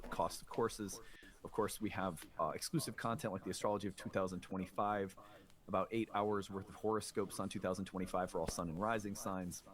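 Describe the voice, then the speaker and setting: A man hosting a conversational astrology show, explaining things in an earnest way. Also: fairly low pitch. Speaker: show host.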